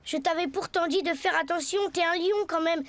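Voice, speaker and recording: A woman's voice: very high-pitched, fairly quick, noisy background. A woman voicing an earnest, hesitant young boy in a French cartoon. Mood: reassuring. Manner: hesitant, protesting, childlike.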